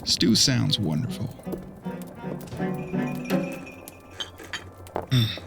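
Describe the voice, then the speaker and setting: A man's voice: on the low side, lively intonation, unhurried, gravelly, heavily acted. Folksy frontier detective man over music, acted. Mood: polite, easygoing. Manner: plainspoken, polite, folksy western drawl phrasing. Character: folksy, easygoing young frontier detective riding alone. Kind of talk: western audio drama acting.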